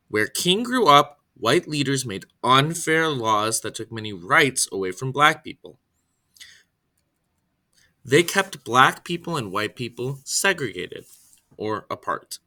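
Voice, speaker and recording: Bright, expressive man reading aloud. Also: mid-range voice. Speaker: reader, storyteller. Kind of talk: scripted read-aloud of a children's biography.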